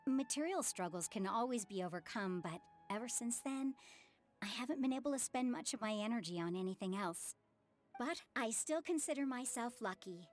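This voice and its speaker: A woman playing a gentle, contented working mother in a video game, friendly, measured and polite. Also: on the high side, animated, lightly acted. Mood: wistful, accepting. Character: gentle, contented widowed mother, theater prop worker. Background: game music.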